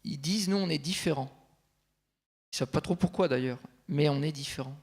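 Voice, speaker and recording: A man speaking as a consultant on a conference panel, measured and thinking aloud, with frequent fillers and restarts. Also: a little high, a little mellow, husky.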